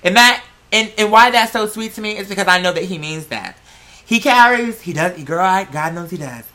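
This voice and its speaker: An androgynous-sounding American vlog host chatting casually and gossiping in slangy, sassy style, leaning hard on drawn-out stressed words. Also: mid-range voice, expressive, pushed and bright.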